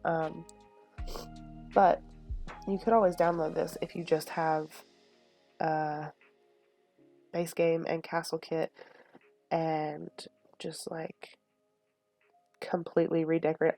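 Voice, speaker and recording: Sniffly young woman chatting over soft music. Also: low voice, little pitch movement, slow pace, vocal fry, pauses often, dry recording. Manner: rambling and chatty, lots of fillers. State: sniffly, stuffed nose. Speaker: Sims build video creator. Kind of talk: casual chat over a speed build.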